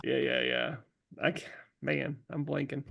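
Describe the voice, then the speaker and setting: A man's voice: medium pitch, flat delivery, a little slow, gravelly, fairly bright tone, no echo, highs cut off. A man co-hosting a comedy podcast with casual banter, joking and breaking into celebrity impressions. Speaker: podcast co-host.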